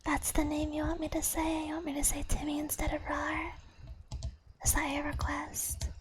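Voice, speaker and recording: Woman, high-pitched, soft breathy whisper, close mic, audible typing clicks. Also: completely flat delivery, moderate pace. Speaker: streamer greeting chat viewers. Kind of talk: whispered ASMR chat. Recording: clear background typing noise.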